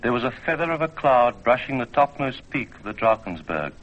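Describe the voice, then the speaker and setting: A man with a medium-pitched voice tells a scripted story for radio. His delivery is measured and literary. The vintage recording is slightly dull. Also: very animated. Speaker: storyteller and producer.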